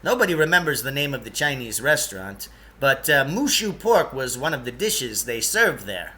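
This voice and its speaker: Man putting on a bright, jumpy, fussy guide. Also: mid-range voice, big pitch swings, normal speed. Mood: explaining. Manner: hesitant stammering, then emphatic and touchy. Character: jumpy, fussy, proud male guide from another world.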